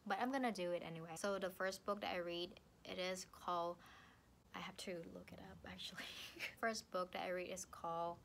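A young woman from Thailand with a Thai accent, chatting playfully to the camera from her sofa about books, with lively hand gestures. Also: on the low side, a little fast, creaky, a little hiss or hum. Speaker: book vlogger. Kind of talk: casual vlog to camera. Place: living room, seated on a sofa. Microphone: camera in front.

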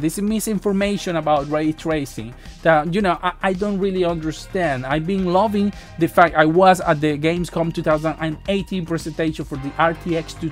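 Tech vlogger, man in his thirties, Spanish accent, high-pitched, lively and halting, faint music bed. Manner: animated, gestures a lot, halting restarts. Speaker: tech YouTuber. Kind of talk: casual talk to camera. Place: small home studio room. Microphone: boom mic near the face.

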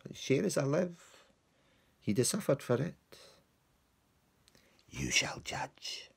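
A man's voice: a little high, sing-song, slow pace, gravelly, husky, in a whisper, voice pushed up, full character voice. A man doing a rueful Scottish servant clown. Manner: broad dialect storytelling, mock indignant, laughing. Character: comic lowly male servant with his dog. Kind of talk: theatrical monologue.

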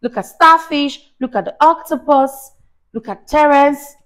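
A young woman reading a story aloud to a child in an expressive, encouraging, teacherly way, often prompting with questions. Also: high-pitched, measured pace. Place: small indoor room on a sofa. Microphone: lapel mic.

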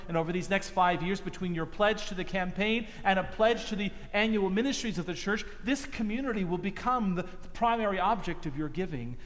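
A middle-aged male priest delivers his sermon from a pulpit microphone, earnest and emphatic. His voice sits high for a man. The words trail off into the long, ringing reverb of a large cathedral.

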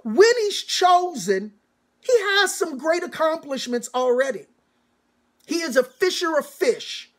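A middle-aged man giving a sermon-like commencement address as a church elder, emphatic and deliberate, with repeated phrases and dramatic pauses. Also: very high voice, expressive. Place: small home study, indoors.

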